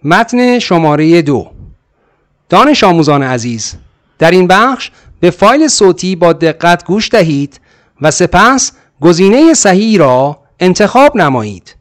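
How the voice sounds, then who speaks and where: A man's voice: sits high, very animated, rapid-fire. A man reading scripted listening-test instructions in a formal, announcing tone. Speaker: exam narrator.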